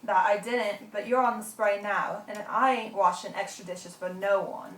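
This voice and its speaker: A woman's voice: medium pitch, fairly even intonation, moderate pace, distant mic, close to an everyday voice. A woman as a grouchy ship's cook, echoey. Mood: grumbling. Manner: salty sailor dialect. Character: grouchy male ship's cook Alfred, scolding but soft-hearted. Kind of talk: amateur radio drama acting. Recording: echoey room, off mic.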